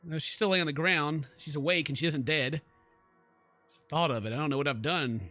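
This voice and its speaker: A man's voice: on the high side, a little fast, pushed and bright, faint music behind. A man doing let's play commentary, reading game text aloud dramatically and stumbling now and then. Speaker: gaming YouTuber.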